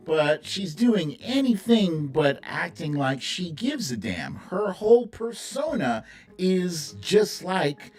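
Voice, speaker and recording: A man hosting a live stream, giving casual, opinionated political commentary in a blunt, conversational way. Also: medium pitch.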